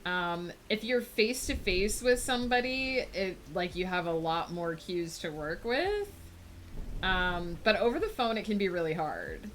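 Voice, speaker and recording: A woman's voice: sing-song, measured pace, slight background noise. Chatty woman streamer talking to chat. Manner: rambling and thoughtful, with frequent fillers; bright, high lift when greeting chat viewers. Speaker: livestreamer. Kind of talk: casual chat with a live audience.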